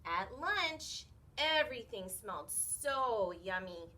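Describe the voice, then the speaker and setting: Woman reading a storybook, very animated. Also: mid-range voice, slight background noise. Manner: expressive, teacherly, pauses to question listeners. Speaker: story reader for children. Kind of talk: animated children's storybook read-aloud.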